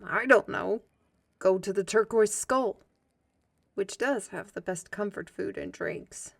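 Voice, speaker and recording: A woman's voice: medium pitch, slow pace, creaky, dry recording. Woman acting tired guarded female agent, dry wit, audio drama, very dry close recording. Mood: weary, brooding.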